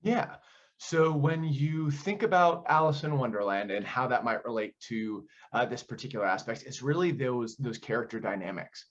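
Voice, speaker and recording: A man's voice: medium pitch, lively intonation, normal speed, fairly bright tone, dull audio. A man answering interview questions conversationally as a director, explaining things and often posing rhetorical questions. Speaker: audio play director being interviewed.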